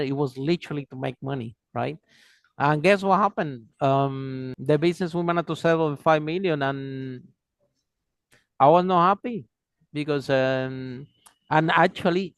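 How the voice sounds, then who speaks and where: Podcast host with a dry close sound. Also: male voice, fairly high pitch, no room sound. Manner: encouraging listener, frequent "mm-hmm" backchannels. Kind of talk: podcast interview.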